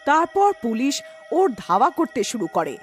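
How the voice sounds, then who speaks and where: A woman's voice: sits high, sing-song, normal speed, soft background music. A woman narrating a scripted cartoon story.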